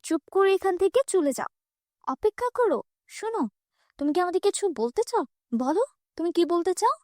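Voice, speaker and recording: A woman plays a fairy guardian in a cartoon fairy tale. She speaks high and with authority, questioning and commanding yet caring, with a dry studio closeness. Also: big pitch swings, moderate pace, darkish tone, no echo.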